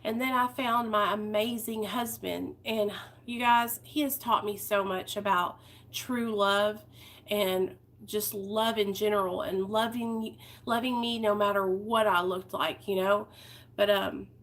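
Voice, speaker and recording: A woman's voice: mid-range voice, steady tone, a little slow, fairly bright tone. A woman, talking candidly and heartfelt to her viewers in a personal vlog. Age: 30s. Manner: candid, heartfelt, rambling with fillers. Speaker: vlogger.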